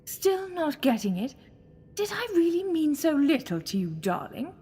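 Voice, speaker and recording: A woman's voice: high voice, very animated, moderate pace, warm tone, a little hiss or hum. A woman playing a sinister alien posing as a goddess, coaxing and theatrical, seductive and mocking, in an audio drama. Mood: flirtatious, mocking. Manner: coaxing, theatrical, purring endearments.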